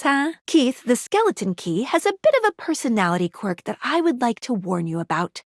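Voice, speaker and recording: A woman's voice: fairly high pitch, no room sound, studio quality. A woman voicing a perky, quirky young female guide in a dating video game, with a bubbly, playful, theatrical delivery. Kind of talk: animated video game voice acting.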